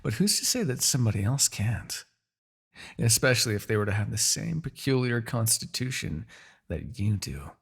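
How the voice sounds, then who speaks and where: A man playing a proud, vengeful demon king in a dramatic fantasy audio roleplay, with a husky, gravelly voice and a theatrical, gloating manner. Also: mid-range voice, sing-song, moderate pace, heavily acted. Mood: gloating, menacing. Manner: theatrical, gloating, with sighs and dark chuckles.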